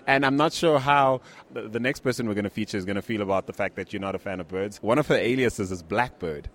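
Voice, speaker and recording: A man's voice: lowish voice, fast, a bit bright. A man hosting a conversational interview, putting questions to his guest. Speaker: interviewer and show host.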